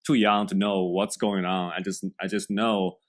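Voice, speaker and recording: A young man from China speaks with a Chinese accent in a very low, deep voice. He is a podcast guest who talks earnestly and haltingly, restarting phrases and leaning on fillers. English is not his first language. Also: level tone. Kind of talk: podcast interview.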